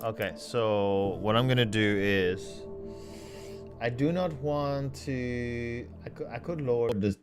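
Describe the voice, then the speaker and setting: A man talking casually on a live stream, halting and correcting himself as he thinks aloud. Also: mid-range voice, music in the background.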